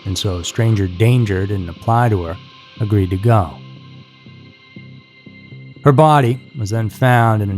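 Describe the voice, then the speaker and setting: Low-voiced man narrating true crime over music.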